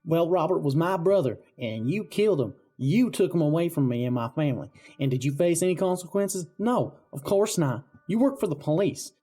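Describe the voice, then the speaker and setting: A man putting on a taunting, high-pitched vengeful killer. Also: lively intonation, normal speed, few pauses, dark tone. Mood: bitter, mocking. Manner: gloating, mocking, chuckling delivery. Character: taunting, vengeful male killer avenging his brother. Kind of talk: amateur audio drama acting.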